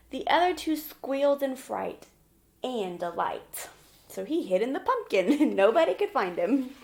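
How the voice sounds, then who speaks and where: A woman teacher reading a children's picture book aloud and talking it through simply, often putting questions to the young listener. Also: high voice. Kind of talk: children's storybook read-aloud and lesson.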